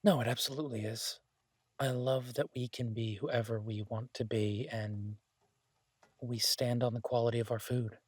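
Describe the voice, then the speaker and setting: A man playing a laid-back, idealistic chef in an audio roleplay, talking casually with hesitant pauses and mild swearing. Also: mid-range voice, steady tone, husky, no room sound, natural voice. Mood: earnest, opinionated. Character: laid-back, idealistic male chef, devoted to honest food. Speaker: chef and restaurant owner character in an audio roleplay. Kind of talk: intimate conversational audio roleplay.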